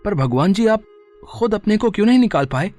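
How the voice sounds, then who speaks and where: A man's voice: high voice, expressive, a little fast, darkish tone, soft background music. A man voicing a poor, devoted village son in a moral story cartoon, earnest and pleading. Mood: puzzled.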